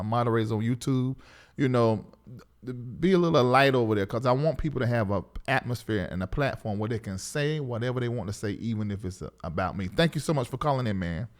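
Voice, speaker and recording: A man's voice: medium pitch, brisk. Casual male call-in show host. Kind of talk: casual live call-in talk.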